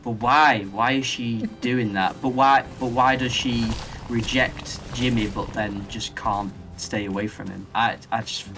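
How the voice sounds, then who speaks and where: Guy hosting a chill podcast, regular pitch, rambly and excited, thinking out loud. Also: a little slow, music in the background. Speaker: podcast host. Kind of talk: casual podcast chat.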